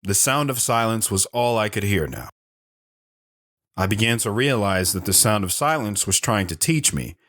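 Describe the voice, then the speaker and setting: A man's voice: lowish voice, expressive, normal speed, fairly bright tone. A man playing an earnest, lovestruck lone wanderer recounting his life in a reflective, sentimental audio drama style. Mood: wistful, reflective. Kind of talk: dramatic first-person audio drama narration.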